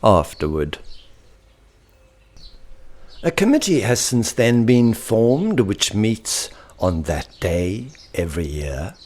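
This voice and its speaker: Deep, slow, theatrical male storyteller. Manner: dramatic, drawn-out phrasing dropping into hushed whispers. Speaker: author reading his own story.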